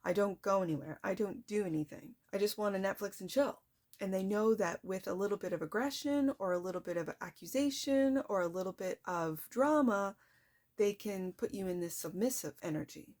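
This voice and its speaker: Woman, tarot reader, soft voice, chatty and reflective, mid-range pitch. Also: normal speed. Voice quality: soft-spoken. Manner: chatty, reflective, addresses the viewer directly. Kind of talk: casual tarot reading to viewers.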